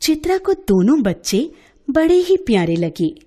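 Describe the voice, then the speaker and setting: A woman storyteller giving an expressive scripted narration of a bedtime story. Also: high-pitched, unhurried.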